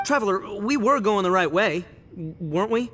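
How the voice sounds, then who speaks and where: Boisterous young oni boss, cocky, emphatic, sharp bright voice, very animated pitch, reverberant game audio. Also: male voice, normal speed, faint music behind. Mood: earnest, insistent. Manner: brash, theatrical, emphatic. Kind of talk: English video game voice acting.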